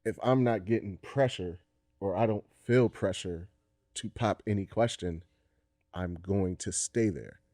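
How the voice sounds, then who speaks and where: Podcast co-host: man, low voice, reflective and deliberate, dry close sound. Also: mellow, dry recording. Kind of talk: casual chat.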